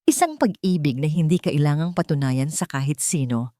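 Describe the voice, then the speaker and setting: A woman's voice: sits low, expressive, normal speed, dark tone, no echo, studio quality. A woman narrating a scripted, dramatic love story as a storyteller.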